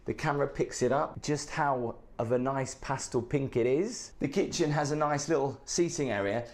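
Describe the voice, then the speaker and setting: A man with a croaky, hoarse voice from the flu, giving an enthusiastic, chatty tour of a hotel room. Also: mid-range voice, normal speed. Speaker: hotel reviewer.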